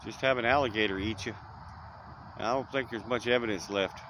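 A man's voice: on the low side, fairly quick. A man, rambling folksy vlog talk outdoors at dusk, recorded on a close-held phone. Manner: rambling, folksy, trails off with fillers. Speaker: vlogger. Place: outdoors in dense brush at dusk. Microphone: phone camera held close. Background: crickets, insects and distant traffic.

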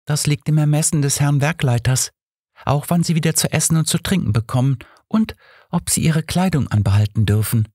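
A man's voice: fairly high pitch, brisk, a little mellow, breathy edge, no echo, clean studio sound, voice pushed up. A man voicing a guarded, matter-of-fact young workhouse girl with a faint defiance, in an audiobook story. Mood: matter-of-fact, resigned. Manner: matter-of-fact, resigned, deferential in wording.

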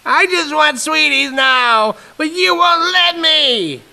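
A man's voice: very high voice, animated, takes it slowly, vocal fry, sharp tone, pitched above the performer's own voice, full character voice. A man voicing a stubborn, petulant young boy in a horror story reading, whining and drawing out his words. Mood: tearful whining. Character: whiny, stubborn young boy demanding food and sweets.

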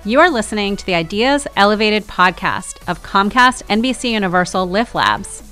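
A woman's voice: mid-range voice, sing-song, normal speed, fairly bright tone, clean tone, faint music behind. A woman in her thirties speaking in an explanatory podcast style on a broadcast mic. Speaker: company lab head and podcast presenter. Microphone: broadcast podcast mic, headphones.

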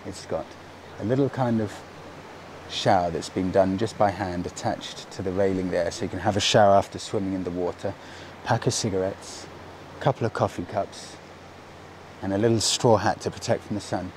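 An enthusiastic man in his thirties talks casually to camera, his voice sitting fairly low. He wears a clip-on lapel mic, and clear outdoor street noise runs behind him. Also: fairly even intonation, normal speed, a little mellow. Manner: enthusiastic, upbeat, chatty. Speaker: travel vlogger. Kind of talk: casual travel vlog to camera. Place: outdoors on a seaside promenade and in a cafe.

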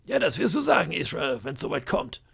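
A crafty old sea-cook pirate, gravelly and raspy, lively swooping delivery. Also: male voice, a little high, brisk, pitched above the performer's own voice, heavily acted. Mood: scheming. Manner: wheedling and boastful, swings to menace. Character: cunning one-legged old pirate cook, male. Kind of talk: audiobook character voice.

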